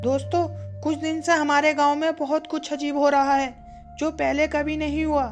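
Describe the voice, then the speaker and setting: A woman's voice: high voice, flat delivery, moderate pace, faint music behind, lightly acted. A woman voicing a clever, eager young village boy who leads his friends in a children's cartoon story. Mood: thoughtful, determined.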